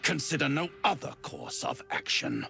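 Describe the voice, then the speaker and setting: An actor playing a suave, smug, silver-tongued devil in a fantasy game, pompous and florid, savouring each phrase. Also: male voice, mid-range voice, wildly swooping pitch, moderate pace, gravelly, pushed and bright, faint music behind, exaggerated voice. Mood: grave, warning. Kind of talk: theatrical fantasy game acting, rhyming and grandiose.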